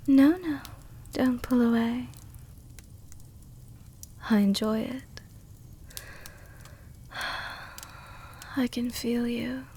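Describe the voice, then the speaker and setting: Woman voicing an ancient vampire countess, highish voice, very slow, intimate, formal, gently possessive, ASMR roleplay. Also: exaggerated voice. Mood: tender, intimate. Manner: slow, intimate, formal diction with breathy whispers. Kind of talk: soft-dom roleplay ASMR.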